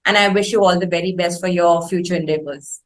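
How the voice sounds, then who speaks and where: A woman's voice: on the low side, steady tone, fast. A woman with an Indian accent hosting an interview in a courteous, wordy and somewhat hesitant conversational way. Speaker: interview host.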